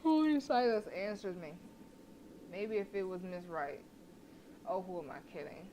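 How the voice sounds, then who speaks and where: A woman's voice: on the low side, unhurried, warm tone. A woman livestreaming a horror visual novel, reading the game text aloud casually, stumbling and correcting herself as she goes. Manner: casual, stumbles over words and self-corrects. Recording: noisy livestream microphone.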